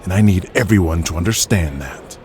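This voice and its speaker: A man playing a cool, calculating male wrestling boss in an audio drama, measured and deliberate. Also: low-pitched, animated, darkish tone, a little hiss or hum. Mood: doubtful.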